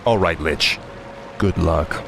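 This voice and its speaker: A man's voice: deep voice, slow pace, gravelly, noisy background, full character voice. An actor playing a commanding, embittered undead king and death knight in a video game cutscene. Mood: urgent, commanding.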